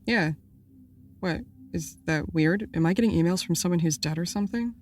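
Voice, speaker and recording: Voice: medium pitch, normal speed, faint music behind, close to an everyday voice. An actor playing a breezy, dry-witted podcast producer who talks in a blunt, offhand way. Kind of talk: scripted casual chat.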